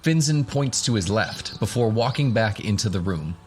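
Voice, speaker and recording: A man's voice: on the low side, expressive, normal speed, a little mellow, slight background noise. A man narrating a scripted fantasy audio drama in the role of game master.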